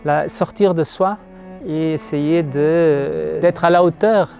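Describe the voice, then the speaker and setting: A man's voice: on the high side, slow, gravelly, darkish tone, faint music behind. A man whose first language is Portuguese, speaking in an interview about reading a letter on stage, hesitant and searching for words.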